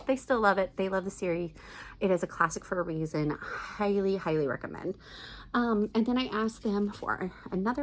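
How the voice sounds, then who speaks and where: A middle-aged woman with a slightly low voice speaks casually, as if vlogging about favorite books. Her delivery is enthusiastic and emphatic, in an informal, chatty style. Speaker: book vlogger recommending family audiobooks.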